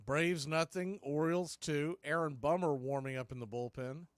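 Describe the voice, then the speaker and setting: Higher-pitched man calling baseball, dry sound. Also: moderate pace, dry recording. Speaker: baseball play-by-play host. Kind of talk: live radio sports commentary.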